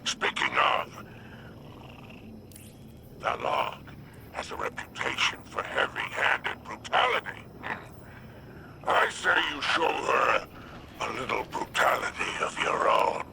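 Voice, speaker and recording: A man playing a sly, greedy alien crime boss in a video game, with a gravelly voice and a wry, self-important drawl. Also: medium pitch, expressive, slow pace, pauses often, heavy background noise, heavily acted. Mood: conspiratorial. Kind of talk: video game character acting.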